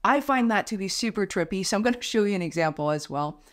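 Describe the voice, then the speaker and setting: A woman's voice: medium pitch, lively intonation, fast, no echo. Tutorial host, woman in her thirties, native Mandarin speaker, upbeat and chatty, dry close mic. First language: Mandarin Chinese. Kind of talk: software tutorial. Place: home studio room.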